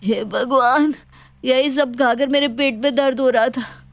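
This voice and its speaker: A woman's voice: high-pitched, steady tone, unhurried, dry recording. A woman voicing a fretful husband who worries about bills in a cartoon story. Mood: exasperated.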